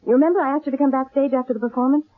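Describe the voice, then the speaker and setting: A woman's voice: high-pitched, steady tone, racing, natural voice. An actress playing a shrewd, middle-aged female fortune-teller and con artist, commanding and portentous, in old-time radio drama style. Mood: uneasy, earnest. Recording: thin, narrow-band old radio recording.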